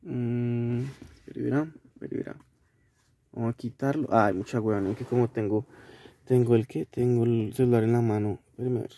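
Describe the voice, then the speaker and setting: A young Colombian man giving a casual, halting vlog review in an informal way, recorded inside a car. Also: medium pitch, fairly even intonation, dark tone. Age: young adult. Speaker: video host reviewing a gadget. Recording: noisy car-cabin recording with clicks.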